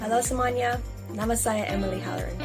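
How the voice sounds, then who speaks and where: A woman's voice: fairly high pitch, fairly even intonation, quick pace, creaky, music in the background. A young woman from Australia with an Australian accent, a university student giving a friendly recorded self-introduction. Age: young adult.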